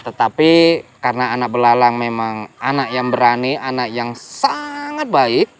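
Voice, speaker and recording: A man telling a folk tale to children in a lively way, speaking to young listeners directly. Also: medium pitch, moderate pace, slight background noise. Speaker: storyteller.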